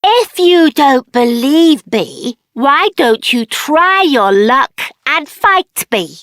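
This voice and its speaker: A woman voicing a plucky, boastful little gatekeeper in a video game, cocky and playful with a deliberate delivery. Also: high voice, expressive, a bit bright, dry recording, clean studio sound, full character voice. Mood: cocky, challenging. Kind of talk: video game character acting.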